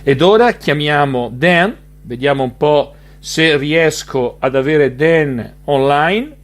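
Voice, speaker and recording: A man's voice: on the high side, unhurried. An Italian man with an Italian accent hosting a casual interview, speaking hesitantly with many fillers. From Italy. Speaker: podcast host.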